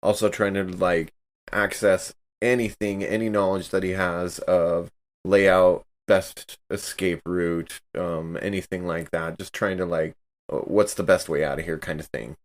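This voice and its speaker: Deep-voiced man playing a tabletop game. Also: steady tone, a little slow. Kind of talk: casual in-game improvisation.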